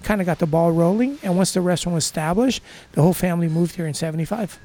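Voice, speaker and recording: High-voiced enthusiastic man from Brazil. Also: fast talker, mellow, slight background noise. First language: Portuguese. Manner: enthusiastic, laughs often, surfer slang. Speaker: guest. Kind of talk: casual interview chat.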